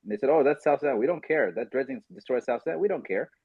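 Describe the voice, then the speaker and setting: A man hosting a casual podcast discussion, telling stories animatedly and quickly mimicking others in asides. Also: medium pitch, expressive, creaky, slightly rough, dry recording, phone-quality sound. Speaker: podcast host.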